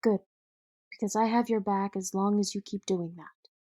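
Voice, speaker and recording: A woman's voice: mid-range voice, fairly even intonation, normal speed, warm tone, natural voice. A woman acting a loyal, no-nonsense ally of a vigilante in an audio drama. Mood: wry, loyal. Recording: faint music behind the voice.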